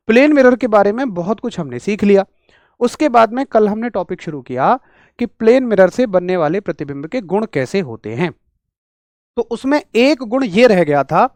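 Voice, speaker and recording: Man, teacher, rural Hindi accent, sore throat, chatty and energetic, high voice. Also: dry recording. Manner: energetic, chatty, talks straight to students. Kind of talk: online physics class.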